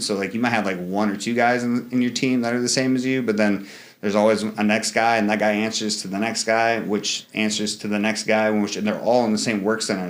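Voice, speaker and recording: A man in his thirties, a Marine veteran guest in an interview, talking casually with many fillers and restarts and acting out quoted exchanges. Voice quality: fairly high voice for a man.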